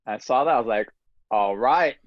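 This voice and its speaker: A man hosting a casual podcast conversation, telling relaxed stories with frequent laughs. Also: medium pitch, normal speed, no echo, lo-fi sound. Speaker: podcast host.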